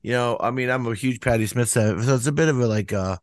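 A man musician talking casually in an interview, rambling with lots of "you know" fillers and acting out quotes in a higher voice. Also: fast, no room sound, studio quality. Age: older. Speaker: musician guest.

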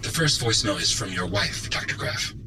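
A man's voice: fairly low pitch, lively intonation, fairly quick, crowd noise. A man playing a formal, courteous artificial intelligence that serves an undersea base in a science fiction audio drama. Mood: calm, dutiful.

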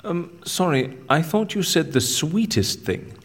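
An actor playing a curious, gently probing confidant with dry wit in an audio drama, attentive and conversational. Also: male voice, on the high side, expressive, a little slow, slightly rough, full character voice. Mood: curious, gentle.

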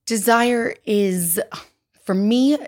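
A woman's voice: fairly high pitch, very slow, darkish tone. A woman, a psychotherapist and author, answering interview questions in a thoughtful, articulate way. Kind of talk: casual interview.

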